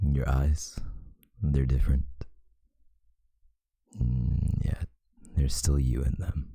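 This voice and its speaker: A man voicing a brooding, lovesick vampire boyfriend in a whispered ASMR roleplay, breathy and gravelly, murmuring intimately and tenderly with long pauses. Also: rumbling low, warm tone, heavily acted. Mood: soothing, protective.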